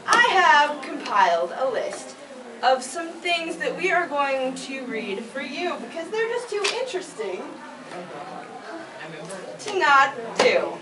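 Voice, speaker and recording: High-voiced woman hosting in an echoey room. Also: very animated, slow pace. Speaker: fan convention panel host. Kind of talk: playful live panel banter. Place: echoey room at a fan convention. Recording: echoey, distant mic, crowd noise. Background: audience chatter.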